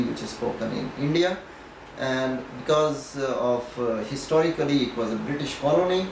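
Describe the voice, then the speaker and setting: A man's voice: medium pitch, gravelly. A man from Pakistan with a Pakistani accent and Punjabi as his mother tongue, explaining things in an interview in a didactic way, often checking in with "okay". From Pakistan, Punjab region. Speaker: professor being interviewed. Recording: echoey, distant mic, faint noise.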